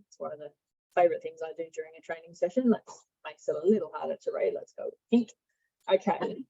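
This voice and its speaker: Low-pitched Australian woman giving software training. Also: dull audio. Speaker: sales and marketing director giving software training. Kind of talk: instructional screen-share walkthrough, conversational.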